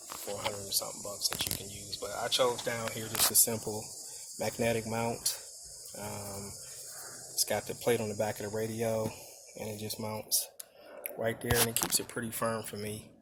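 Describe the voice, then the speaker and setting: Low, even-voiced man with background noise. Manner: informal, unscripted explaining. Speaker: motorcycle vlogger showing his gear. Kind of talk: casual product walkthrough. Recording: handheld video with clear background noise.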